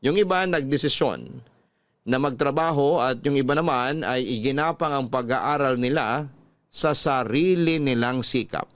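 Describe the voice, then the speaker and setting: A man's voice: medium pitch, moderate pace, no echo. A Filipino man with a scripted, dramatic storytelling delivery, hosting and reading a listener's letter as narrator. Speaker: radio drama host and narrator. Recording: dry studio voice over background music. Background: music.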